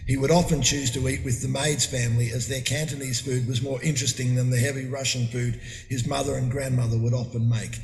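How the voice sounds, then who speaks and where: A man's voice: medium pitch, flat delivery, gravelly, darkish tone, a little hiss or hum. Man giving a eulogy in an echoey hall. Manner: conversational, halting with fillers and restarts. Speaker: eulogy speaker. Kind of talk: funeral eulogy. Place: memorial hall. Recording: echoey hall with long reverb.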